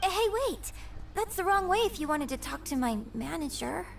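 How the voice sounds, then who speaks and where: A woman voicing a graceful, polite, composed young opera performer in a video game, with refined, measured phrasing over music. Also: extremely high pitch, lively intonation, creaky, recorded from a distance, a little hiss or hum, full character voice. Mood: light, decided. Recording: game music bed behind voice.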